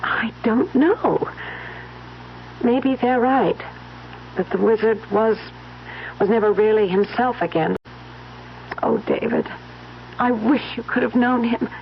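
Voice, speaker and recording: An actress playing a gentle, weary young wife, hesitant and emotional in an old-time radio mystery. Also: female voice, a little high, slow pace, long pauses. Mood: wistful. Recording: dull, noisy old radio recording.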